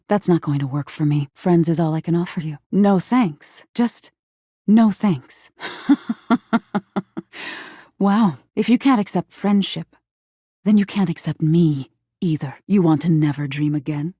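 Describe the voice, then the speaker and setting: Creepy female nightmare spirit for a game, dark mellow voice, husky, intimate, menacing but sweet. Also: unhurried, dry recording, studio quality. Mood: hurt, threatening. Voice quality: breathy, husky, low. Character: female living nightmare spirit, eerie, seductive, wounded, menacing. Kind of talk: video game character acting.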